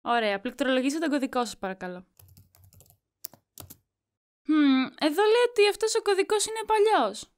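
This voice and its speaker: A young female shop assistant , acted by a woman, very high-voiced. She speaks politely and helpfully, in customer-service style for an audio drama. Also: lively intonation, pauses often. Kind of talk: amateur audio drama acting.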